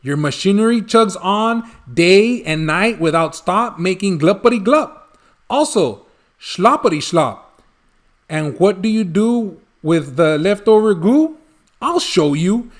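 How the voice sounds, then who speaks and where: A man's voice: high-pitched, lively intonation, unhurried. A man voicing an indignant, bossy old forest creature from a storybook, scolding in emphatic rhyme. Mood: angry, scolding. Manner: snappish, emphatic rhyming speech.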